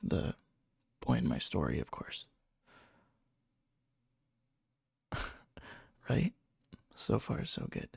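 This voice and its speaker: A man playing a needy, lovesick, obsessive young friend in a soft, breathy ASMR roleplay, hesitant and coy. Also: low voice, huge pitch swings, takes it slowly, no room sound, studio quality, full character voice. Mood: defensive, coy. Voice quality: breathy, soft, fry-edged. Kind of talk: whispery ASMR roleplay, intimate storytelling.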